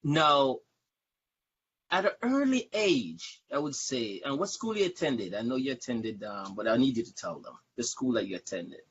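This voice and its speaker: A man's voice: medium pitch, animated, normal speed, a bit bright, slightly rough. A man hosting a casual live-stream interview, repeating words and phrases for emphasis. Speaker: show host, interviewer. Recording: dull, lo-fi livestream audio.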